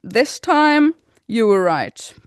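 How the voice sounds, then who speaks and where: A woman's voice: a little high, slow, smooth, dry recording. Chatty woman podcast host, dry sound. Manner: animated, laughs often, rambling with restarts. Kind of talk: casual chat.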